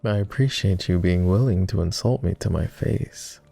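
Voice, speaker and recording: A man playing a flirty, courtly vampire aristocrat in an intimate roleplay ASMR, with refined, formal, teasing speech in a low, gravelly murmur. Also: normal speed, dark tone, no room sound, full character voice. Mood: amused, casual. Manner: refined, formal diction with playful teasing and sighs.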